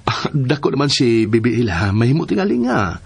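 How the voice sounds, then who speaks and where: A man playing an agreeable husband and young father in a Filipino radio drama, with a husky, raspy voice and a Filipino accent. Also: mid-range voice, big pitch swings, a little fast, heavily acted. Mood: coaxing, agreeable.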